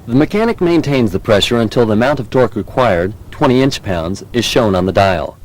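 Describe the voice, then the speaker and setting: An American man reading scripted training film narration in a measured, formal, instructional manner. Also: mid-range voice, animated, darkish tone. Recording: 1990s VHS training film soundtrack.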